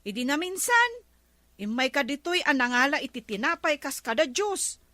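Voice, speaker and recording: A woman's voice: sits high, lively intonation, normal speed, pushed and bright, no echo. A woman playing a guarded, argumentative village store woman in an Ilocano comedy drama. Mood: firm, argumentative.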